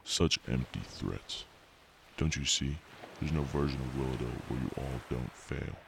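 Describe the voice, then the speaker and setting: Gravelly, abyss-deep evil scientist villain. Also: male voice, moderate pace. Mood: mocking. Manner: cold, imperious, menacing. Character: menacing evil scientist villain ruling a simulated town. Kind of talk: audio drama acting. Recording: recording with faint background noise.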